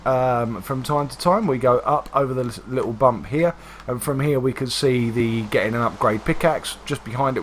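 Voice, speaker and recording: Hoarse, congested man streaming a game. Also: medium pitch, fairly bright tone, a little hiss or hum. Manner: chatty, rambling, many "uh" fillers. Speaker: livestream host. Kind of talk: casual gameplay commentary.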